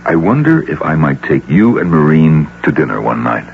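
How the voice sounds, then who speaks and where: An actor playing an earnest, lovestruck middle-aged businessman in a radio drama, halting and emphatic, with a gravelly American voice. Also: rumbling low, big pitch swings, exaggerated voice. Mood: earnest, wooing. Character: earnest, lovestruck wealthy businessman, awkward yet insistent suitor. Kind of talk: old-time radio drama acting. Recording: dull hissy radio drama recording with hum.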